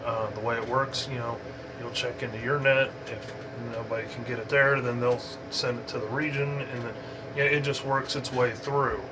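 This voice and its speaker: A man talks through an informal hobby tutorial with a medium-pitched voice. His delivery is unscripted and halting, wandering as he explains. A clear, steady background noise sits behind him. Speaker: amateur radio hobbyist giving an introduction.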